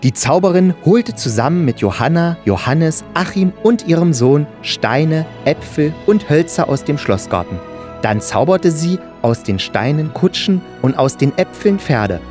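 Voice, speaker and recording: A man's voice: a little high, darkish tone. A man giving scripted narration of a fairy tale for children.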